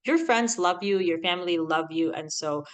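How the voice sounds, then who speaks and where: A woman in her thirties from the Philippines, with a Filipino accent that leans American, giving animated, chatty podcast advice and laughing often. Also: on the low side, moderate pace. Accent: Filipino, with an American lilt. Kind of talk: casual advice talk.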